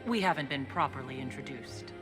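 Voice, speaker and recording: A woman's voice: extremely low pitch, a little fast. An actress voicing the female leader of a holy order in a video game, speaking directly and inquisitively. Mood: questioning. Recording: game dialogue over loud music.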